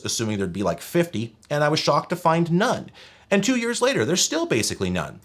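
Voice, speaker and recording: Man with lively, swinging intonation. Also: medium pitch. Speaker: video host and gadget reviewer. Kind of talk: scripted review narration, conversational.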